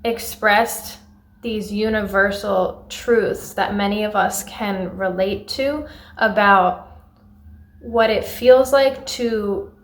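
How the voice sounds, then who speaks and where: A woman giving articulate, analytical film feedback as an audience member, in measured and formally phrased sentences. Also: mid-range voice, steady tone. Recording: roomy echo.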